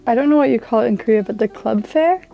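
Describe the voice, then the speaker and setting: A young woman, an international language student, giving thoughtful, measured interview answers. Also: a little high, vocal fry, highs cut off, faint music behind. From United States.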